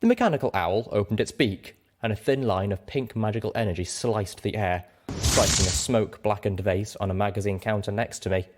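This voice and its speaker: A man reading fiction aloud as an audiobook narrator, in an animated storytelling style. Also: on the low side, a little fast. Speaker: audiobook reader.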